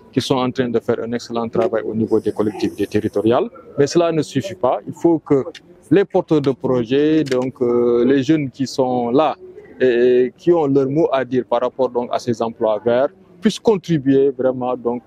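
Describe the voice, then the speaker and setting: A Senegalese man giving a formal press statement in a measured, hesitant way. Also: medium pitch, warm tone.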